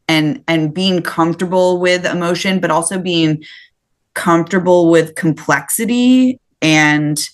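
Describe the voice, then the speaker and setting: A woman speaking as a podcast guest, reflecting conversationally in thoughtful, halting phrases. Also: on the low side. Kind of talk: reflective conversational interview talk.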